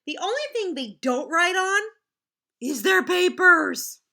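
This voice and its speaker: A woman reading a picture book aloud in the manner of a teacher, expressive and explaining things simply to children. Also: extremely high pitch, takes it slowly, gravelly. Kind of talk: animated children's read-aloud with asides to the listeners.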